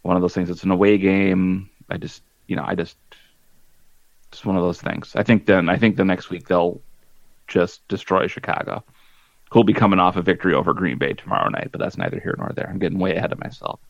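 A man with a very low, deep voice chats about sports in a halting, wry way, leaning on "um" and "you know." The recording is dry and a little dull, like a lo-fi mic in a dead room. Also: lively intonation, creaky, no echo. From small-town Minnesota. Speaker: podcast co-host. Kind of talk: casual sports chat.

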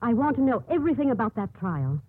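A woman's voice: fairly high pitch, very animated, fast, husky, no echo. A woman playing an earnest, compassionate nun driven by inner conviction, in 1950s radio drama acting style. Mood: urgent, pleading. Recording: muffled, narrow-band 1950s radio broadcast.

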